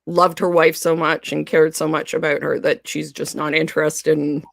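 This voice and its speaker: A woman co-hosting a podcast, chatting casually about a TV series, animated and laughing often. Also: sits low, normal speed, creaky, no room sound, clean studio sound. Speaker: podcast co-host. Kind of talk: casual fan discussion.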